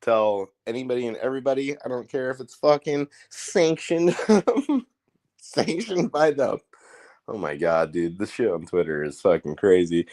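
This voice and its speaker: A man with an Indiana accent hosting a casual livestream, talking in a rambling, profane, excitable way. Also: mid-range voice, normal speed, no echo. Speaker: livestream host.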